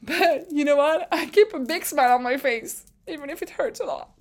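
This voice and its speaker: A young woman hosting a casual recap podcast, talking in an animated, rambling way and laughing often. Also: sits high, moderate pace. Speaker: podcast host.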